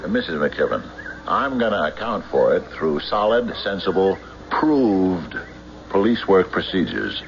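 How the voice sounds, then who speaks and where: An actor playing a stolid, skeptical sheriff in an old radio drama, speaking in a formal, deliberate, official manner. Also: male voice, low-pitched, big pitch swings, exaggerated voice. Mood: probing. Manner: official, deliberate, hesitant questioning. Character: stolid, methodical male county sheriff, formal and doggedly skeptical. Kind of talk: old-time radio drama acting with police-report narration. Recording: noisy, muffled vintage radio recording.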